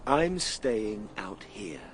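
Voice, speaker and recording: An actor playing an earnest, reflective gentleman time traveller in a radio drama, moving between measured storytelling and simple, tender coaxing. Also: fairly low pitch, very animated, full character voice. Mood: coaxing, firm. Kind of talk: radio drama narration and acting.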